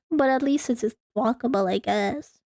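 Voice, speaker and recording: A woman's voice: on the high side, a little mellow, no room sound, studio quality. A woman streaming a visual novel, reading the text aloud and breaking into playful, casual asides. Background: faint music.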